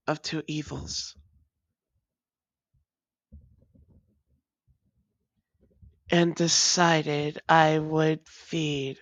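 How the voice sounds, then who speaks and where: An actor playing a weary, guarded vampire and long-lost lover, speaking in halting, formal phrases in an intimate roleplay audio drama. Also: medium pitch, a bit bright, no room sound. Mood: regretful, grave. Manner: measured, halting, formal old-fashioned phrasing.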